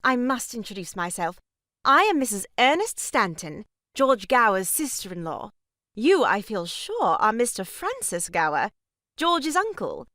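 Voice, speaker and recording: Self-important sister-in-law, sharp-tongued, brisk, lively high-ish pitch, dry studio sound, stage farce. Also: female voice, dry recording, heavily acted. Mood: arch, poised. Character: bossy, gushing married sister-in-law, sharp-tongued matron. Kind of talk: stage farce audio drama acting.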